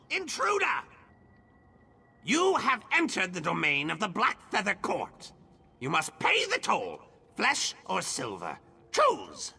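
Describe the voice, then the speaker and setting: A haughty crow guard from a fantasy video game, squawks out pompous threats. The voice is piercingly bright and very rough, screechy and raspy. It takes its time over each menacing demand. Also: male voice, high voice, full character voice. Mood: alarmed, demanding.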